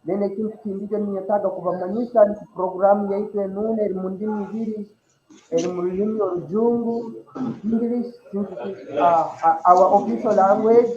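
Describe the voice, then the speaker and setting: A Ugandan man with a Ugandan accent hosting a community broadcast, halting and full of fillers and repeated words. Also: sits high, steady tone, a little mellow, reverberant, lo-fi sound. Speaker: host. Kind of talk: diaspora community broadcast, mixing Rutooro and English.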